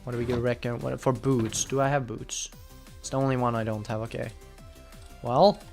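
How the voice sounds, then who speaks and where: Excitable young man streaming. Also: mid-range voice, normal speed, faint music behind. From Finland. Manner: chatty, bursts into loud excited outbursts. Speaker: game streamer. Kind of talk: casual live gameplay commentary.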